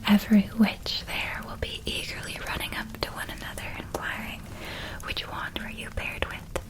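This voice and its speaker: Woman acting a softly whispering, doting witch. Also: close to an everyday voice. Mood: warmly excited. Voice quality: soft, breathy whisper. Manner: slow, tender, affectionate, lingering on words. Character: gentle, doting older witch woman helping with school shopping. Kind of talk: whispered ASMR roleplay.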